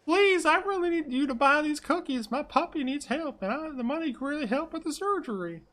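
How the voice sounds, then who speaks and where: A man's voice: very high-pitched, expressive, fairly quick, fairly bright tone, pitched above the performer's own voice. A man voicing a wheedling cookie seller in a tabletop role-playing game, pleading to make a sale. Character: pleading, squeaky cookie seller with crocodile tears.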